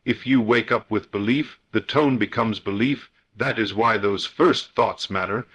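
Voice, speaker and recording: A man delivering a scripted motivational guided meditation in a solemn, deliberate, oratorical manner. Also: lowish voice, fairly bright tone, slightly rough.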